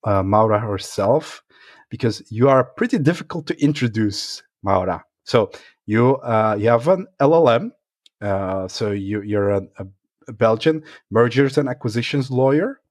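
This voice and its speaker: A man's voice: mid-range voice, expressive, moderate pace, no echo, studio quality. A man hosting a technology podcast, talking in a hesitant, casual way with many fillers. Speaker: podcast host. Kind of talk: casual interview chat.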